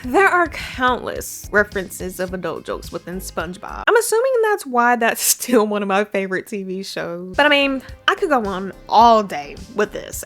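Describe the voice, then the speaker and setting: A woman's voice: a little high, a bit bright. A young woman hosting a video essay, mixing scripted commentary with casual, emphatic asides and repeating herself for emphasis.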